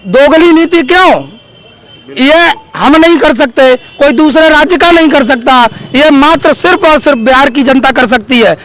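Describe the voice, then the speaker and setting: A man's voice: extremely high pitch, moderate pace, a bit bright. A man from Uttar Pradesh, a Buddhist monk making an earnest, impassioned appeal to the public, exhorting and repeating his phrases. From Uttar Pradesh, India.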